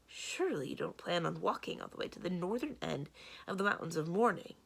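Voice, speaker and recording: A woman's voice: on the low side, animated, a little fast, creaky, breathy edge, heavily acted. A woman voicing a proud, protective young forest king in a storybook, exasperated and emphatically objecting. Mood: appalled, emphatic. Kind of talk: storybook read-aloud.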